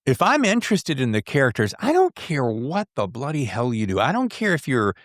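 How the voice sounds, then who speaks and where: A man hosting a casual interview, talking in an excitable, jokey, digressive way as a fellow writer. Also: mid-range voice, fairly quick, no echo, studio quality. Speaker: podcast host and writer.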